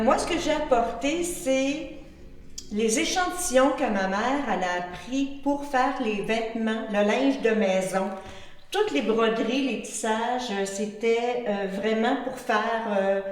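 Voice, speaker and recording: A Québécois woman in her sixties telling family stories in a group interview, wry and lively, with hesitant fillers. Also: fairly high pitch. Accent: Québécois French. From Quebec. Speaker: interview participant. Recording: very echoey room, distant mic.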